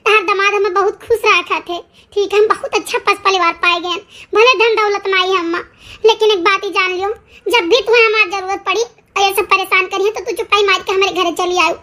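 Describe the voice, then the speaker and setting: A performer voicing a sharp-tongued village woman in an Awadhi-accented cartoon comedy, emphatic and given to repeating phrases. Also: extremely high pitch, steady tone, fairly quick. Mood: earnest, reassuring. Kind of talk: cartoon comedy dialogue in rural dialect.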